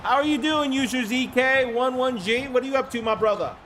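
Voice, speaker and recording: Hoarse high-voiced stream commentator. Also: male voice, fairly quick, faint music behind. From Florida. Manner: chatty, greets viewers by name, excited bursts on big plays. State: hoarse from the flu. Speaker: streamer calling a simulated football game. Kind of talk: live-stream play-by-play commentary.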